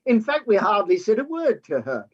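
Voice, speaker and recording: A performer playing a polite, defensive gentleman colonel, mild and courteous in a staged play reading, in muffled sound. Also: mid-range voice, sing-song, moderate pace, slightly rough. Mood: mild protest.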